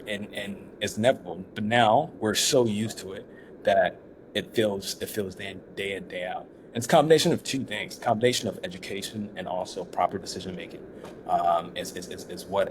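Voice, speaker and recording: A man from Ghana speaks as a founder in a relaxed interview. His voice sits very low. He pauses, repeats himself and restarts often, with a faint hiss of noise behind him. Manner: halting, many restarts and fillers. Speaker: startup founder, guest. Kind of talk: casual interview talk.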